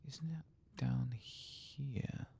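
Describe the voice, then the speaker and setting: A man giving soft-spoken, often whispered ASMR game commentary in a gravelly, musing mutter. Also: mid-range voice, fairly even intonation, extremely slow, a little hiss or hum. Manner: musing, muttering understated reactions. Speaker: ASMR streamer playing a video game.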